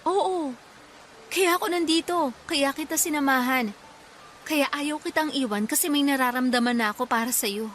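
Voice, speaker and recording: Frightened, devoted young woman, high voice. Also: moderate pace, a bit bright, a little hiss or hum. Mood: earnest, tender. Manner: earnest, emotional. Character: frightened, devoted young woman confessing love amid a storm. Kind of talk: Filipino radio drama acting.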